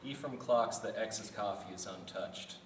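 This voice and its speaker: A man's voice: fairly low pitch, a little slow. A man narrating stage directions from a script at a live table read. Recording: echoey room from far off, noisy.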